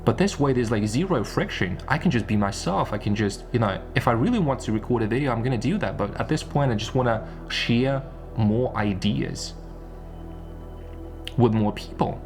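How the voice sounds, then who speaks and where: A man's voice: medium pitch, expressive, normal speed, a little mellow, soft background music. A man giving an unscripted, rambling monologue as an online content creator, repeating phrases and posing rhetorical questions. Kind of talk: unscripted one-take monologue.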